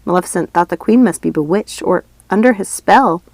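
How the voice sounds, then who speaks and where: A woman's voice: lowish voice, a little fast, a little mellow, no room sound. A woman reading a fairy tale aloud as scripted storybook narration. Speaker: audiobook reader.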